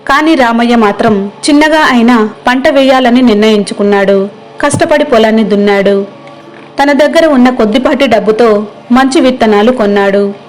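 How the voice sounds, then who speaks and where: Higher-pitched woman narrating a story. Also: a little mellow, poor audio. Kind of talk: scripted moral story narration.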